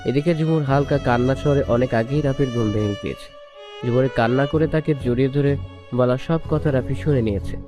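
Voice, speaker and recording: A male storyteller narrates a scripted romance in an average-pitched voice. Clearly audible instrumental music plays behind him throughout. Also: fairly quick. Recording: music bed under the voice. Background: prominent instrumental music.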